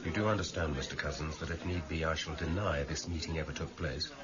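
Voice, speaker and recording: Grave police superintendent, low voice, probing, radio play, bit dull sound with people murmuring behind. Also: male voice, steady tone, a loud crowd. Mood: quiet, conspiratorial. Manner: probing, terse, measured. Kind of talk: 1990s radio drama acting.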